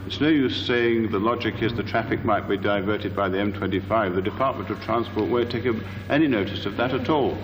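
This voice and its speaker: A man's voice: lowish voice, fairly quick, hardly any pauses. A man arguing his point firmly at a public meeting. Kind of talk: argumentative public meeting talk. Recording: noisy, echoey hall sound.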